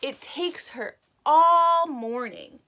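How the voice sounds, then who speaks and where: A woman reading a picture book aloud to young children, animated and expressive, pausing to explain words simply like a teacher. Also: high-pitched.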